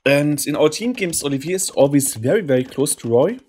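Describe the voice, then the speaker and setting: A hoarse man hosting a gaming channel, casually commentating a match while thinking aloud and clearing his throat now and then. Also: fairly high pitch, animated, brisk, darkish tone. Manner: keeps saying "interesting" with mild curiosity. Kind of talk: casual live game commentary.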